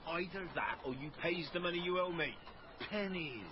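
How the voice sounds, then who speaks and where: An actor playing a blunt, scornful, threatening innkeeper in a period radio drama. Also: male voice, sits high, normal speed, dull audio, noisy background, lightly acted. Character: hard-nosed, threatening eighteenth-century innkeeper demanding payment.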